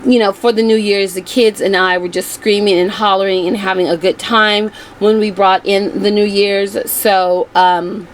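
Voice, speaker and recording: A woman's voice: mid-range voice, steady tone, moderate pace, hardly any pauses. A woman with a slightly scratchy, strained voice, chatting informally as the host of a casual makeup review vlog. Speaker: beauty vlogger host.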